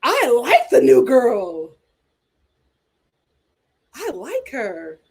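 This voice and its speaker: A woman's voice: high-pitched, huge pitch swings, drawn-out words, darkish tone, husky. A woman hosting a casual reality TV reaction chat, talking back at the screen. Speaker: reality TV commentary host.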